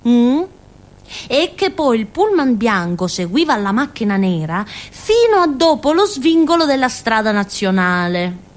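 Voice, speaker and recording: Childlike Calabrian village simpleton, animated. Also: female voice, on the high side, measured pace. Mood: excited recounting. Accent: southern Italian, Calabrian. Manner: childlike, rambling, punctuated by little grunts and tag questions. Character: naive simple-minded young village woman of Calabria, chatty, confiding. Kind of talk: theatrical first-person monologue in colloquial dialect-tinged Italian.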